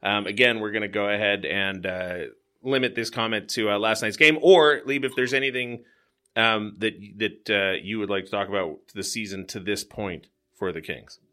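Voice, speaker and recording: Bright-voiced male show host. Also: lowish voice, moderate pace. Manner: upbeat, laughs easily. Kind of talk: casual sports chat.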